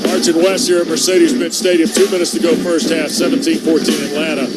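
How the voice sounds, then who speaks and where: A man's voice: fairly high pitch, flat delivery, fast, loud music behind the voice, poor audio. An American man doing live radio play-by-play commentary of a football game from a stadium booth. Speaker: play-by-play announcer. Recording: loud stadium din behind voice.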